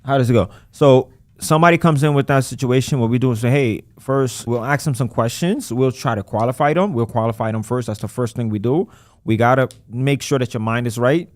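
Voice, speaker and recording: A man who runs a credit repair business, talking casually in an interview and acting out his callers in quick voices. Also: mid-range voice, darkish tone, no room sound. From United States.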